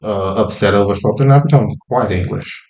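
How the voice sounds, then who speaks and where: A man's voice: on the low side, brisk, darkish tone, roomy sound. A man from Wales in casual podcast chat, blunt and profane. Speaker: podcast co-host.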